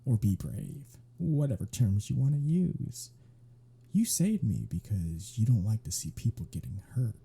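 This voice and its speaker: A man's voice: lowish voice, very animated, unhurried, gravelly, warm tone, breathy edge, heavily acted. A man playing a smug, centuries-old vampire in an intimate ASMR roleplay, teasing with a sarcastic drawl. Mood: wry, exasperated.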